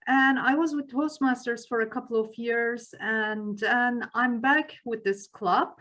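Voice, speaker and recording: A woman's voice: a little high, measured pace, a bit bright. A woman from the Greater Toronto Area and a returning club member, introducing herself hesitantly, with fillers and restarts. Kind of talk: self-introduction at a club meeting. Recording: dull, lo-fi video call audio.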